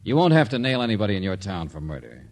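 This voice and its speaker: An American man playing a tough, wry private investigator in old radio drama style, clipped and commanding, with a husky voice. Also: low-pitched, sing-song, fast talker, darkish tone, exaggerated voice. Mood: firm, explaining. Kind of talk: 1940s-50s American radio drama acting.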